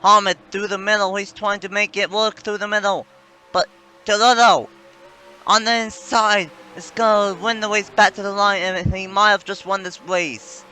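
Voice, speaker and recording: A man's voice: sits high, level tone, moderate pace, pushed and bright, faint music behind. A man giving rambling, self-correcting play-by-play commentary on a simulated stock car race. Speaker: sim racing commentator.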